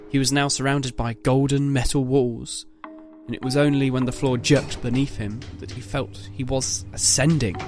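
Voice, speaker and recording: A man giving a measured, literary narration of a scripted dramatic story. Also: medium pitch.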